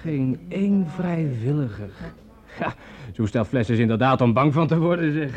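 A man's voice: mid-range voice, animated, normal speed, darkish tone, lightly acted. An actor playing a jovial, sceptical hard-drinking tavern man in a Dutch radio play, teasing with heavy sarcasm. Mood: mocking. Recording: dull lo-fi old radio recording.

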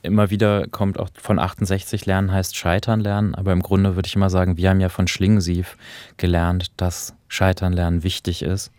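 A man with a low voice talks as a radio co-host and critic, recorded close and dry. He explains and reflects in intellectual phrasing, in an analytical but conversational style. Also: flat delivery, a little fast, darkish tone, no echo.

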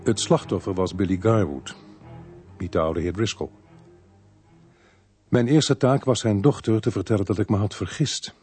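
An actor playing an apologetic police investigator in a Dutch radio drama, speaking in a measured, explanatory way. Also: male voice, on the low side, darkish tone, faint music behind, close to an everyday voice. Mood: sober narration.

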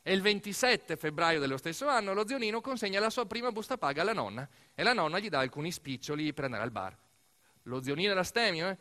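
A man's voice: a little high, bright, forceful tone. A man performing a theatrical narrative monologue, conversational and emphatic, full of asides and lists. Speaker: storyteller actor in a radio theatre monologue. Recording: music under the voice. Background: music.

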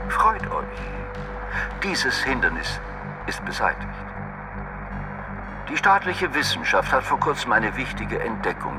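Menacing state announcer, swooping delivery. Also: male voice, mid-range voice, poor audio. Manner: declamatory, official. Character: authoritarian male state announcer, coldly triumphant, menacing. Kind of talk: propaganda announcement in a radio play. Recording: music behind the voice.

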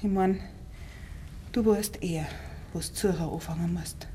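An actress playing an earnest, determined young country woman with a Bavarian accent in a 1950s radio play, speaking in a measured, insistent way. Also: on the low side, fairly even intonation, mellow, close to an everyday voice. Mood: confiding. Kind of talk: 1950s German dialect radio play acting. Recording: 1950s radio recording with faint hiss.

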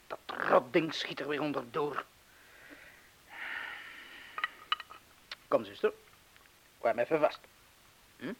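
A halting, high-pitched, weary man. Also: a little hiss or hum. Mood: concentrated, muttering. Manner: halting, with broken-off phrases and repeats. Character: weary middle-aged man nervously defusing a bomb, irritable and disillusioned. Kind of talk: radio drama acting.

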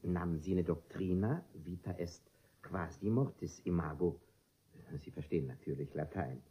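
Low-voiced, pedantic philosophy tutor. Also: male voice, unhurried, dull audio. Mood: courteous, pompous. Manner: formal, pompous, hesitant with small hums. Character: courteous, pedantic male philosophy tutor, learned and obliging. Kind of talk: classic comedy radio play acting.

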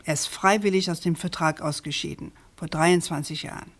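A persistent, low-voiced woman journalist. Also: fairly even intonation. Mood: measured, firm. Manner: probing, deliberate, emphatic. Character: persistent, sceptical female journalist questioning a prosecutor. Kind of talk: German radio crime drama acting.

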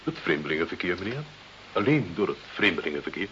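Through the hiss of a muffled old radio play comes a servile, efficient office deputy. His pitch moves up and down in a lively way while he stays deferential and dutiful. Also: quick pace, full character voice. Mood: eager, informing. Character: obsequious, efficient male deputy to a pompous director. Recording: dull, hissy old radio play recording.

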